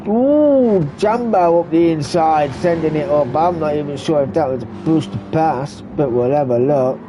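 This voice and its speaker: A man giving relaxed running commentary on a sim race, stuffy and muffled from a cold. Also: a little high, normal speed. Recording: muffled lo-fi recording with background noise.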